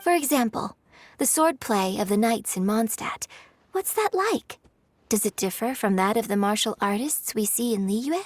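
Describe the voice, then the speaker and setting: A woman's voice: a little high, expressive, moderate pace. A woman playing a refined, courteous young opera performer in a video game, speaking with polite, formal, elegant phrasing. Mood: curious, polite. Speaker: game character voice. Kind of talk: scripted video game dialogue.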